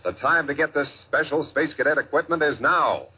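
A man's voice: on the high side, animated, normal speed, bright, forceful tone, breathy edge. Male 1950s commercial announcer for kids: swooping, enthusiastic delivery, emphatic, muffled old radio sound. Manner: emphatic, addresses young listeners. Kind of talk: 1950s children's radio advertisement, enthusiastic pitch. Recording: dull vintage radio recording.

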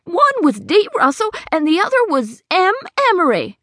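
Woman voicing a distraught, high-pitched swindled wife. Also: wildly swooping pitch, normal speed, fairly bright tone, dry recording, clean studio sound, exaggerated voice. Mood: anxious. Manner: high, trembling and pleading. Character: distraught middle-aged woman cheated of her savings.

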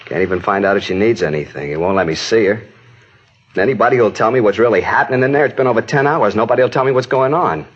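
An actor playing a distraught, exhausted, bitter husband in an emotional 1950s radio drama style. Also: male voice, fairly low pitch, fast talker, slightly rough. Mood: angry, frustrated. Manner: anguished, repetitive, emotional. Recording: dull, muffled 1950s radio recording.

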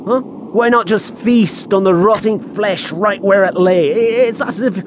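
An actor playing a wry, superstitious gravedigger's sidekick with an in an audio horror drama. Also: male voice, high voice, unhurried. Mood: uneasy, spooky. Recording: clear background ambience noise.

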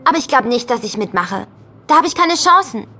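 A woman's voice: high-pitched, expressive, a little fast, pushed and bright, soft background music. A woman playing an earnest, eager young schoolgirl in a children's audio drama. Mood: unsure, curious. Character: earnest, questioning young schoolgirl with magic spells.

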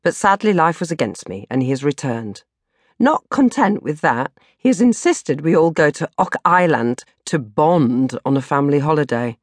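A woman's voice: low-pitched, very animated, moderate pace, dry recording, studio quality. A woman narrating a comic first-person diary as an audiobook, with a dramatic, exasperated delivery. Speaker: audiobook narrator reading her own novel.

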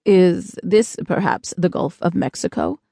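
A woman voicing a kindly, proud man from space in an audiobook, with slow, formal, polite speech. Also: fairly low pitch, animated, creaky, dark tone, dry recording, exaggerated voice.

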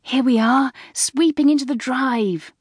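An eager schoolgirl in her early teens, acted by a woman narrator, pitched well up from the reader's low register. She gushes in old-fashioned slang in a storybook, recorded dry. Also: a little slow, breathy edge, no room sound. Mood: excited. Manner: exclaiming, gushing schoolgirl slang.

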